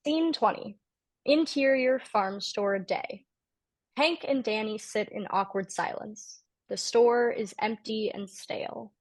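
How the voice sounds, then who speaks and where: A woman's voice: a little high, takes it slowly, no echo. A woman writer-director introducing a table read and reading out the stage directions as scripted narration.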